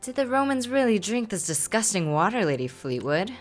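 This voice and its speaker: A woman's voice: mid-range voice, very animated, a little fast, soft background music, natural voice. A woman voicing a cheerful, romantic young Regency lady from a game, with polite, genteel phrasing. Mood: polite, earnest. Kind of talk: reading game dialogue aloud.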